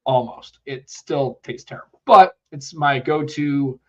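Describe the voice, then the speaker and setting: A man's voice: medium pitch, a little slow, a little mellow, muffled recording. A man co-hosting a live tech podcast, a bit congested from a cold, rambling and reading out chat messages. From United States. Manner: rambling, chatty, reads out chat comments. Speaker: podcast co-host. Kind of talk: casual live-stream chat.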